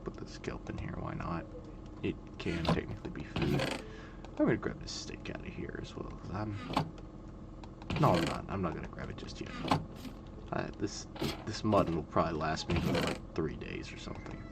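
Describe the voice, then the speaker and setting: A man in his twenties giving calm, monotone, rambling gameplay commentary, full of fillers. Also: low-pitched, normal speed, creaky. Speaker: gaming video creator. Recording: loud background noise.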